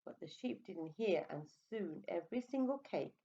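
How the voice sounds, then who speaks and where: A woman reading a picture book aloud to young children, expressive and coaxing, with little questioning asides. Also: fairly low pitch, moderate pace, dull audio. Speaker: storyteller for preschool children.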